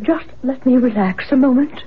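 An actress playing a sensitive, solemn spirit medium in a theatrical vintage radio drama style. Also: female voice, high-pitched, normal speed, lightly acted. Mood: gently assured. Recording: muffled, noisy old radio broadcast.

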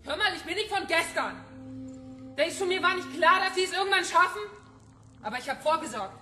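Radio crime drama: taunting young female hacker, high bright voice, unyielding. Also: expressive, fairly quick, heavily acted. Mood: cold, defiant. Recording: echoey room with faint noise.

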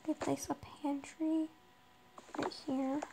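A woman with a slightly raspy voice, chatting casually to herself while she builds in a roleplay game, hesitant with lots of pauses. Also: high-pitched, flat delivery. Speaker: Minecraft roleplay player. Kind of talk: casual gameplay narration. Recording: noisy background.

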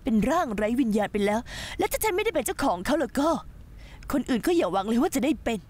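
A menacing young woman full of jealousy and spite. Her high voice leaps up and down as she gloats in this dubbed TV drama. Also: big pitch swings, fast talker, a little mellow. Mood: vengeful. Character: vengeful obsessive young woman, jealous and threatening.

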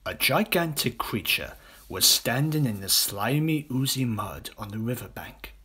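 A man reading a children's audiobook story as an expressive narrator. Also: medium pitch, a little slow, slight background noise. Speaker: audiobook reader.

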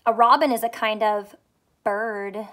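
A woman teacher with a fairly high voice reads to children. She takes her time over each phrase, explaining in simple terms and putting questions to the listener. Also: steady tone, measured pace, fairly bright tone. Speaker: teacher reading aloud to children. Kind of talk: children's story read-aloud with simple teaching. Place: small furnished room at home, indoors.

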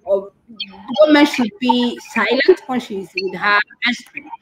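A woman in her thirties with a Somali accent, answering as an interview guest on a video call, haltingly repeating and rephrasing. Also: fairly high pitch, lively intonation, background noise. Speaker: guest doctor and women's rights activist. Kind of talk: interview answers on a live stream. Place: curtained room indoors, on a video call. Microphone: video call device mic.

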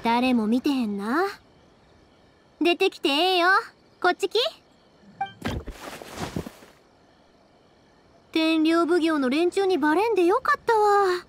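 A woman voicing a cheerful, caring young fireworks maker with a Kansai accent, brisk and expressive, in a Japanese game dub. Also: very high voice, a little hiss or hum. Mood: hushed, alert. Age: young adult. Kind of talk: Japanese anime game dubbing.

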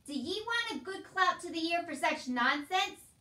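A woman voicing a loud, brutish, mocking middle-aged man who barks scornfully in rustic speech, in a storybook reading. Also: on the high side, expressive, normal speed, roomy sound, recorded from a distance. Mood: scornful, angry.

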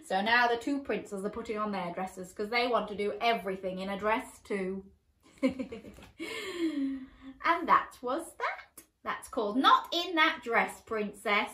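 A woman in her thirties reading a picture book aloud to young children at bedtime, animated and expressive, with playful asides to her listeners. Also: mid-range voice, measured pace, reverberant. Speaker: children's storyteller. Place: small bedroom, indoors, seated on a bed.

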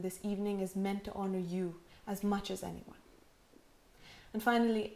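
Woman in her thirties, heavily pregnant, sincere and measured, gently humorous, recorded at home. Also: mid-range voice. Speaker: prize winner giving acceptance speech. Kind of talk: recorded acceptance speech. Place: living room at home, indoors. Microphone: camera in front, seated framing.

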